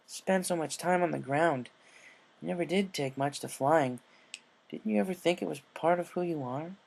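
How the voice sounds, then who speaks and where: A man's voice: on the high side, moderate pace, gravelly, a little mellow, voice pushed up. Plain-spoken, troubled pegasus mare, young and stubborn, casual delivery for a story reading, acted by a man. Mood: searching. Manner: casual, frank. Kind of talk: character dialogue in fan fiction reading.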